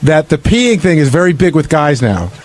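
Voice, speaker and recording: A man's voice: a little high, sing-song, normal speed, darkish tone. A man co-hosting an unscripted radio panel, blunt and argumentative, challenging what others say. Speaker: radio show co-host.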